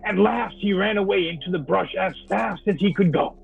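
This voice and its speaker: Male actor as brooding diarist in an old-time radio chiller: high-set, gravelly voice, halting delivery. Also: narrow, tinny audio, faint music behind. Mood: uneasy. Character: troubled man recounting uncanny events from his journal. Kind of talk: old-time radio drama monologue, live performance.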